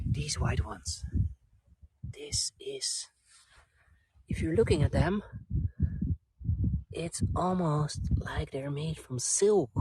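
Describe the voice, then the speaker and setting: Slow, lively Dutch-accented man outdoors. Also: on the high side, creaky, poor audio. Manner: enthusiastic, chatty, answers viewer comments. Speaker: vlog host giving a garden tour. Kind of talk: live-streamed casual tour chat. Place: outdoor flower garden. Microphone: camera on a handheld gimbal. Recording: loud background noise outdoors.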